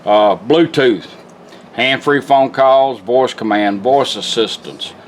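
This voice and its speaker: A man's voice: medium pitch, slow pace, slight background noise. A middle-aged man giving a casual, plainspoken product demonstration at a workshop bench, like a folksy repairman. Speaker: car radio restorer demonstrating a product. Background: music playing from the radio being demonstrated.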